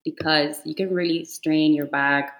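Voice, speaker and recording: Chatty young Cuban-accented woman, low voice. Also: fairly even intonation, a little slow, a bit bright, low-quality recording. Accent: Cuban Spanish. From Cuba. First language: Spanish. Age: 20s. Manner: chatty, many "like" fillers. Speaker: podcast guest, fitness influencer. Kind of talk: casual chat.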